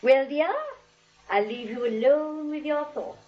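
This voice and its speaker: An actress playing a sweet, serene elderly spinster in a 1940s radio comedy, genteel and matter-of-fact. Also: female voice, sits high, unhurried. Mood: gracious, cheerful. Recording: dull vintage radio recording.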